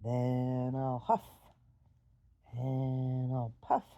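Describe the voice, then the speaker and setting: A woman voicing a menacing, demanding storybook wolf who makes forceful, drawn-out threats. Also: rumbling low, completely flat delivery, lo-fi sound, slight background noise, voice pushed down, heavily acted. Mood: menacing, blustering. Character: big bad wolf, menacing, demanding, blustering storybook villain.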